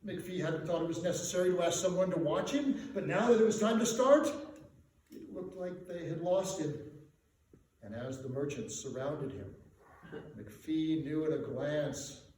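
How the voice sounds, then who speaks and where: A man's voice: on the high side, measured pace, darkish tone. A man reciting a rhyming comic holiday story in a theatrical, emphatic, sing-song way, as a live storyteller to an audience. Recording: distant mic in echoey room, faint noise.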